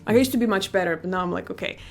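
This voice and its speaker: A woman's voice: mid-range voice, racing, creaky, soft background music. A young woman from Greece, a language teacher, talking casually in an interview over a video call. She is chatty and modest, and laughs easily. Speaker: guest, Greek teacher.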